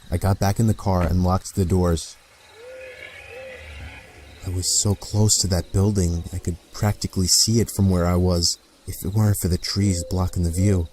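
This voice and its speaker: A man narrates a scripted scary story in the first person. His voice is deep and dark, and his delivery is measured and deliberate. Faint music plays behind him. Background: background music.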